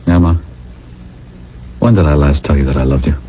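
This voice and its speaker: An actor playing an earnest, lovestruck husband, a nostalgic misfit of the future, in a radio drama, with halting, sincere delivery. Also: male voice, very deep voice, a little hiss or hum. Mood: anxious, tender. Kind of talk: 1980s radio drama acting. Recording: dull vintage radio broadcast with music.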